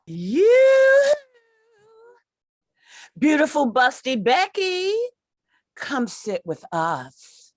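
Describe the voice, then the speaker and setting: A sneaky, catty old lady played by a woman in an old-time radio comedy. Her exaggerated delivery is slowish and swoops widely, recorded close and dry. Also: high voice, huge pitch swings, no room sound, heavily acted. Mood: flirtatious.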